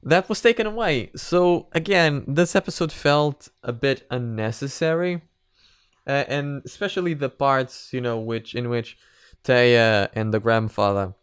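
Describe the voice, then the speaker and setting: A man's voice: medium pitch, smooth, no room sound, studio quality. A man giving casual, unscripted reaction commentary as a video reviewer, speaking hesitantly, rambling and repeating himself. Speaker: video reviewer reacting to an animated parody series.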